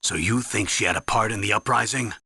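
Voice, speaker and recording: A troubled older colonel in a video game. His voice is low and extremely raspy, creaking with gravel. He comes across as gruff, evasive and defensive. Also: a little fast, bright, forceful tone, no echo, clean studio sound, heavily acted. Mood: shocked, concerned. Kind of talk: dramatic video game voice acting.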